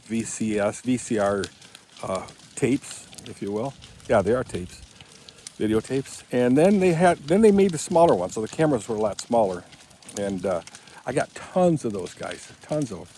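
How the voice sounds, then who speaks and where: A man's voice: medium pitch, expressive, moderate pace, darkish tone, slight background noise. Rambling man in his seventies, chatting outdoors. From Michigan, USA. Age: 70s. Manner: chatty, wandering, corrects himself often. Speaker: vlogger. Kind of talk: rambling casual vlog chat. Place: outdoors on rural land.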